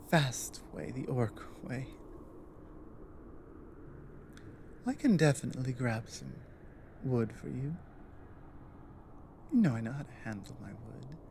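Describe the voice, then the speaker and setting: A woman playing a big, earnest, protective orc warrior in an intimate ASMR audio drama, speaking low in a halting, thoughtful way. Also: dark tone, a little hiss or hum. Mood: calm, resolved, then teasing. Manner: halting, deliberate, earnest delivery with pauses.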